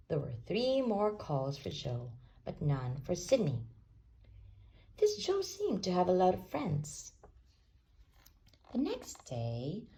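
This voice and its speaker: A woman reading a children's storybook aloud as an expressive storyteller. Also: low voice, vocal fry.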